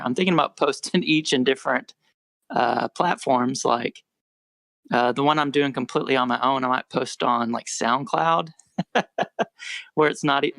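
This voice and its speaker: A quiet-voiced young American man and musician, chatting in a relaxed, rambling way as a podcast guest. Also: mid-range voice, creaky, no room sound. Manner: rambling, self-correcting, relaxed. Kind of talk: casual podcast interview.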